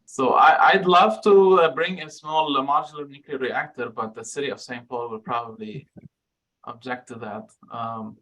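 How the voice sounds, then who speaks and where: A man's voice: medium pitch, fairly even intonation, normal speed, a bit bright, poor audio. A man from Lebanon answering audience questions as a guest researcher, speaking frankly with frequent fillers and restarts.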